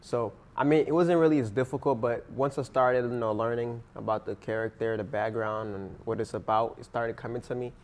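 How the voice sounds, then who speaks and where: A man's voice: medium pitch, steady tone, fairly quick, few pauses. A teenage boy who speaks English as a second language, answering interview questions in an earnest, rambling way with fillers. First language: not English. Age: teens. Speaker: interviewee, student actor.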